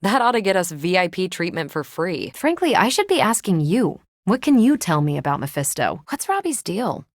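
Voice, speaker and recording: A woman's voice: on the low side, big pitch swings, normal speed, hardly any pauses, no echo, studio quality. A woman voicing a confident, wry, friendly superhero in a video game, with a breezy, teasing, dryly self-assured delivery. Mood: dry, conversational. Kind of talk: video game voice acting, conversational.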